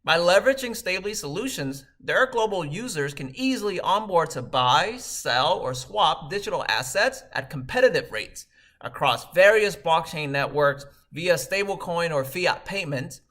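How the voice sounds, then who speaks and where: A man in his thirties, originally from Vietnam, delivering a measured, scripted video message as a company co-founder. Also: a little high, big pitch swings, fairly bright tone. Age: 30s. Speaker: startup co-founder and CEO.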